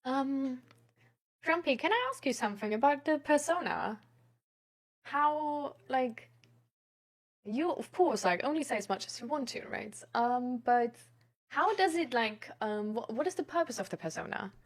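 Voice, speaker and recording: A woman's voice: high voice, expressive, measured pace. A woman livestreamer chatting casually with her viewers in a hesitant, rambling way that often trails off. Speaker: live streamer.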